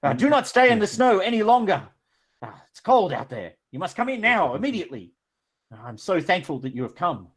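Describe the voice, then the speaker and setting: A man voicing a very old, portly male village headman who speaks humbly and haltingly, full of grief. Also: sits high, big pitch swings, pitched above the performer's own voice. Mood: eager. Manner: humble, grieving, halting speech.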